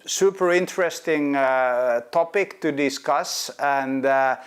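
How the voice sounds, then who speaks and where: A man's voice: medium pitch, slow pace, clear, resonant tone. A man hosting an interview in a casual, friendly chat, often repeating words as he finds them. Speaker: host.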